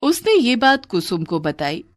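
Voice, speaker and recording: A woman's voice: fairly high pitch, sing-song, measured pace, no room sound, clean studio sound. Woman narrating a moral bedtime story.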